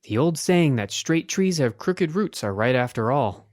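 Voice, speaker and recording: A woman's voice: extremely low pitch, lively intonation, unhurried, a little mellow, pitched below the performer's own voice. A woman voicing an anxious, earnest young man in a children's story, with dramatic, plaintive delivery. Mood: dejected.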